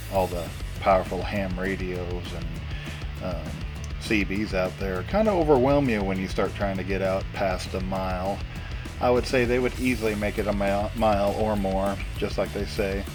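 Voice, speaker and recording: A middle-aged man giving a casual, folksy vlog demonstration as the host of a vintage gadget channel. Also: low voice. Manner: folksy, chuckles often.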